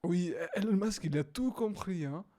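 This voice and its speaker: A young man casually reacting to videos, hesitant with many fillers, sometimes bursting into loud exclaiming. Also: high-pitched, animated, moderate pace. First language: not English.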